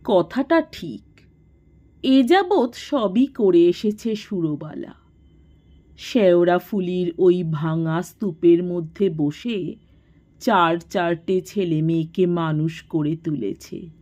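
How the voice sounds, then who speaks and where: A woman narrating a literary story in a measured, expressive manner, in a slightly dull recording. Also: lowish voice.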